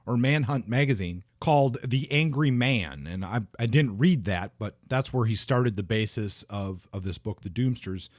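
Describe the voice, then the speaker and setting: A man co-hosting a book podcast, mid-pitched and recorded close and dry with no room sound. He talks in a casual, thoughtful way, often stopping to restart a phrase. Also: dry recording. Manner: thoughtful, halting, with frequent restarts and fillers. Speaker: podcast co-host.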